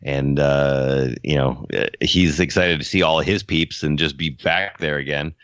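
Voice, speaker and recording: A man chatting casually on a podcast as a car shop owner, with a halting, easygoing way of talking. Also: rumbling low, fairly bright tone, dry recording. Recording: dull, muffled, close dry sound.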